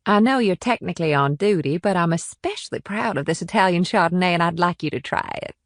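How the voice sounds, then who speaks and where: A woman's voice: lowish voice, animated, fast, no echo, clean studio sound, close to an everyday voice. A woman playing a confident, proud and flirtatious innkeeper in audiobook dialogue, with a poised, self-assured manner. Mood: inviting, flirtatious. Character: confident, proud, flirtatious businesswoman running an upscale inn.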